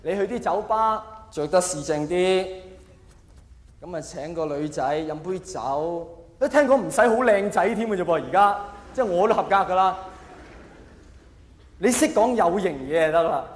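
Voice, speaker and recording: A man with a Hong Kong accent performing a self-mocking, mock-earnest stand-up comedy monologue in an animated way. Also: high-pitched, moderate pace. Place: large live venue with long reverb. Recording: echoey hall, faint noise.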